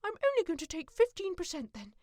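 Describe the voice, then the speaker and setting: A woman playing a determined, affectionate, resourceful adventurer in an audio drama, expressive and commanding. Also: very high voice, a little fast, no echo. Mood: urgent. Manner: expressive, thinking aloud, commanding.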